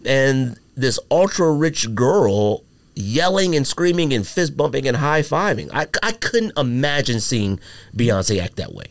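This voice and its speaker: A man hosting a casual podcast chat, telling stories with lots of fillers in an animated, drawn-out way. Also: mid-range voice, creaky, no echo. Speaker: podcast host.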